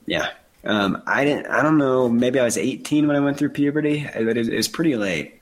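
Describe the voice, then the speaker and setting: A man's voice: medium pitch, lively intonation, brisk. A man in his thirties with a mildly nasal voice, co-hosting a casual chat and telling stories about himself with a laugh. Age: 30s. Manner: earnest storytelling with self-deprecating laughs. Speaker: podcast co-host.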